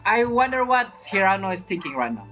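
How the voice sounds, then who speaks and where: Excitable, animated game streamer. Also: mid-range voice, fairly quick. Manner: excitable, dramatic, repeats phrases. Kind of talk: casual gameplay commentary, reacting to story. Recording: game music behind.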